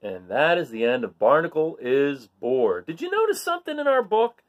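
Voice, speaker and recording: Lively middle-aged male storytime host. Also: a little high, moderate pace, dull audio. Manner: explanatory, addressing children directly. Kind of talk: read-aloud talk to children. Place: bright living room, indoors.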